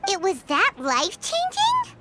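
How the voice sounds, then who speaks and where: A woman's voice: extremely high pitch, wildly swooping pitch, measured pace, very noisy, exaggerated voice. A woman voicing a tiny, cheeky, blunt fairy-like girl companion in a video game, with a childlike manner. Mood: surprised. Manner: refers to self in third person, childlike. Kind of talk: English video game voice acting. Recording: voice over loud background music.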